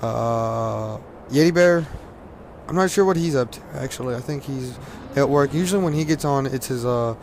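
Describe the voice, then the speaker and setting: Hoarse teen gamer, loose rambling delivery, faint noise floor. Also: male voice, medium pitch, moderate pace. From Louisiana, US. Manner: rambling, laughs often, many fillers. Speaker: gaming commentator. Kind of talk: casual gaming commentary.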